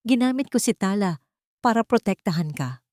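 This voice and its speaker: A woman's voice: medium pitch, lively intonation, normal speed, darkish tone, no echo, clean studio sound, pitched above the performer's own voice, close to an everyday voice. A woman voicing a proud, defiant older society matriarch in an audio story drama.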